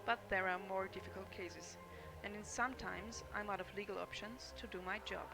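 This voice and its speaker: An actress playing a cool, ambitious young insurance fraud chief, narrating a game intro in a cynical, matter-of-fact way over music. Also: female voice, medium pitch, steady tone, moderate pace, vocal fry, close to an everyday voice. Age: young adult.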